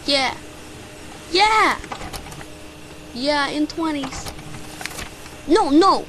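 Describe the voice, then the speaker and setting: Woman playing a high-pitched, pompous bumbling private detective. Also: expressive, very slow, noisy background, exaggerated voice. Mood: exasperated haggling. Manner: pompous, theatrical. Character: bumbling, self-important private detective named Danny. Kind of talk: hammy amateur radio drama detective narration.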